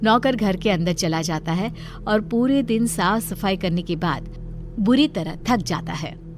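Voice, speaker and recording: A woman's voice: mid-range voice, expressive, a little slow. A woman reading scripted story narration as the narrator. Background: music.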